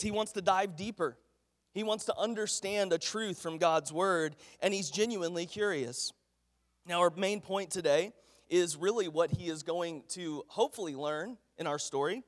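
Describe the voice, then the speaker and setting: A man preaching a folksy, earnest sermon while congested with a head cold. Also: sits high. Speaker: pastor. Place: church sanctuary. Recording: echoey church hall.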